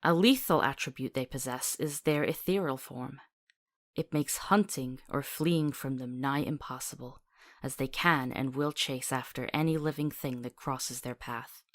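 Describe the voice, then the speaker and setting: An actress playing a sardonic, practical woman who travels as a companion in a fantasy visual novel, with a wry manner. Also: a bass voice.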